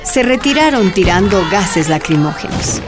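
A woman's voice: mid-range voice, expressive, moderate pace, over music, crowd noise, natural voice. A woman narrating a scripted radio drama as an earnest storyteller.

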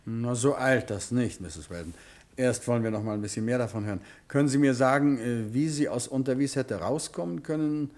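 An actor playing a confident, urbane detective in a 1950s radio drama, who speaks in a relaxed, teasing way. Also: male voice, mid-range voice, a little fast, close to an everyday voice. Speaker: amateur detective.

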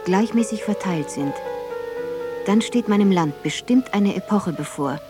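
An actress playing a grave, reflective narrator in a literary radio drama, speaking in a solemn, poetic and measured way. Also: female voice, mid-range voice, animated, music in the background, lightly acted. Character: grave, reflective woman narrator remembering her childhood.

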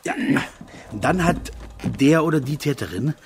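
Dogged sardonic detective, animated. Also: male voice, a little high, a little slow, background noise. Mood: brisk, investigative. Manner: probing, sardonic questioning. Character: dogged male private detective, sardonic and probing. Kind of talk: radio crime drama acting.

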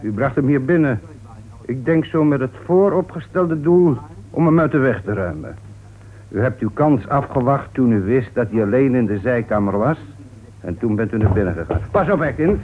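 An actor playing a methodical, confident police inspector in a radio detective drama, speaking formally and deliberately, with an accusing manner. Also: male voice, mid-range voice, expressive, lightly acted. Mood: accusing, triumphant. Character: methodical, confident male police inspector closing a murder case. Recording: tinny, noisy old radio recording.

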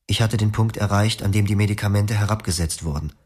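An actor narrating as a young former psychiatric patient, in a quiet, reflective, melancholic tone in a radio play. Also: male voice, fairly low pitch, fast, close to an everyday voice. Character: young male patient recalling his clinic past. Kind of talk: first-person radio drama narration.

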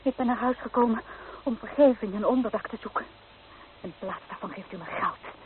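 Proud young daughter played by an actress, tinny sound. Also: female voice, fairly high pitch, a little fast, lightly acted. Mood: proud, hurt. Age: young adult. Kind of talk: radio drama acting. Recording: tinny, noisy old radio recording.

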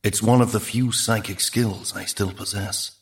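A man's voice: on the low side, steady tone, normal speed, close to an everyday voice. A man voicing a dying psychic dugong in an audiobook, solemn, ponderous and formally wordy. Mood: grave, urgent. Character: dying dugong, male, psychic, solemn, verbose, sounding like a grave film star.

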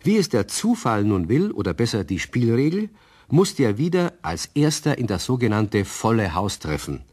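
A man narrating a children's radio play as a calm, explaining storyteller with a husky voice. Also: mid-range voice, normal speed. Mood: measured, explanatory.

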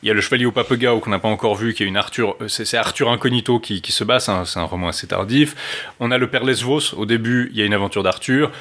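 Male co-host, low voice, quick delivery, chips in with short notes. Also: a bit bright. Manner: adds brief corrections and side notes. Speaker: podcast co-host. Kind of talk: casual chat about literature.

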